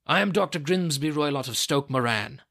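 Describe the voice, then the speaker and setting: Casting: man to play menacing old brute of a doctor for an audiobook, furious, shouting, hard-edged forceful delivery. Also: on the high side, lively intonation, moderate pace, vocal fry, full character voice. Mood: angry demanding. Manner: snarling, shouting threats. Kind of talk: dramatized audiobook reading.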